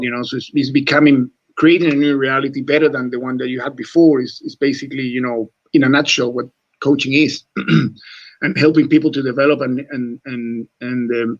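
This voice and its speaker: A man's voice: mid-range voice, lo-fi sound. A man in his forties from Chile, whose first language is not English, talking earnestly as a rugby coach in a radio interview. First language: Spanish. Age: 40s. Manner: earnest, repeats words, says mate often.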